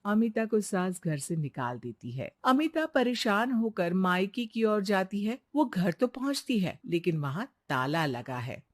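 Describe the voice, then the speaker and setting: Casting: female narrator for scripted storytelling, medium-pitched voice. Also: very animated, a little slow, hardly any pauses.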